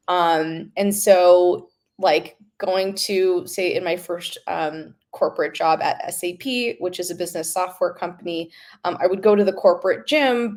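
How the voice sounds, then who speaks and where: An American woman from Los Angeles answering interview questions conversationally, in a halting, thoughtful way. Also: mid-range voice. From Los Angeles, United States. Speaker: podcast guest, company founder.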